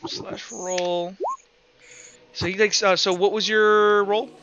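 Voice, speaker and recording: A man's voice: high-pitched, level tone, slow, noisy background. A man working as game master, narrating a tabletop roleplaying game casually, thinking aloud and swearing freely. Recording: dull, lo-fi sound with background music.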